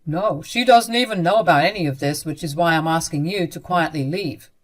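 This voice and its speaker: A cold, dismissive young son, as a woman narrator puts him on, clipped and matter-of-fact in a storybook telling. His voice sits a touch lower than her own, flat and businesslike. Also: brisk.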